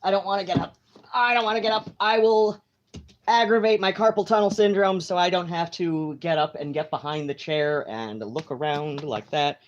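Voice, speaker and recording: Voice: mid-range voice, moderate pace, dull audio. A live-stream host chatting casually from home, meandering through thoughts with lots of fillers and restarts.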